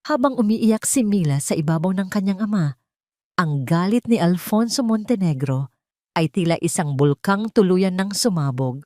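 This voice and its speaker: A Filipino woman narrates a scripted drama in a fairly low voice. Her delivery is solemn and theatrical, as in an audio storybook. The sound is very dry, with no room echo. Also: darkish tone.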